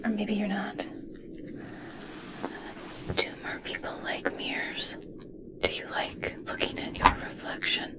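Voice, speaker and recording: A woman playing an awestruck, obsessed scientist in a whispered ASMR roleplay, speaking in a hushed, halting, gently fascinated way. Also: mid-range voice, very animated. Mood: fascinated, gentle. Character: obsessed, awestruck female scientist studying merpeople.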